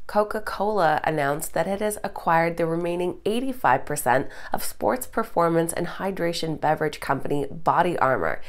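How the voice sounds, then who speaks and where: Woman in her 30s, market news host, a little congested with a cold, lowish voice, brisk upbeat read. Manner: brisk, upbeat presenter delivery. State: stuffed up from a cold. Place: studio, green screen backdrop.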